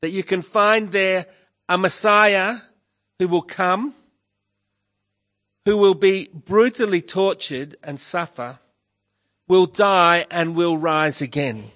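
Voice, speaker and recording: A pastor delivering a sermon in a croaky voice, measured and emphatic, rising on key words. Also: male voice, sits high, fairly bright tone. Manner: slow, measured preaching with emphatic rises. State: hoarse, croaky voice with a cough.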